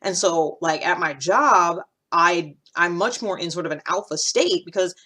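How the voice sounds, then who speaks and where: Low-voiced woman chatting casually. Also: normal speed, sharp tone. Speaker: podcaster. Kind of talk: casual talk to camera. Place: small indoor room with a cloth backdrop.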